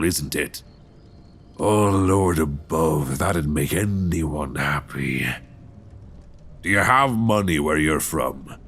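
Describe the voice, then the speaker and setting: A man playing a weary, wry old nineteenth-century lighthouse keeper with halting, folksy speech in a suspense audio roleplay, close on a binaural mic. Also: sits low, fairly bright tone, exaggerated voice. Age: older. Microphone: close binaural microphone.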